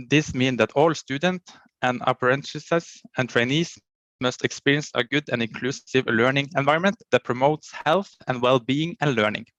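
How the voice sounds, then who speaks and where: A man, a county education official reading a prepared conference presentation in a formal, halting way and often cutting off and restarting words. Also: mid-range voice, a bit bright, dry recording, muffled recording.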